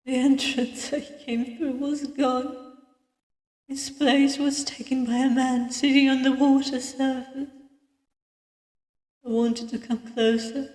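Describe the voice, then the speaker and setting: A woman voicing a reserved, weary detective who narrates a visual novel in a measured, dramatic way. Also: high-pitched, darkish tone. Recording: distant mic, echoey long reverb.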